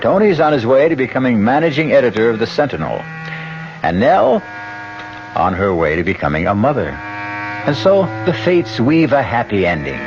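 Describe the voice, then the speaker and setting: A man hosting a radio show with theatrical, measured scripted narration. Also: mid-range voice, very animated, background noise. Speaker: host and announcer. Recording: muffled 1970s radio broadcast.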